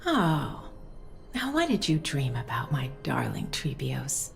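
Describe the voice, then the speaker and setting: A woman voicing a gentle, loving mother and priestess in a video game, speaking in a tender, soothing, measured way. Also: a bass voice, huge pitch swings, creaky, faint music behind, full character voice.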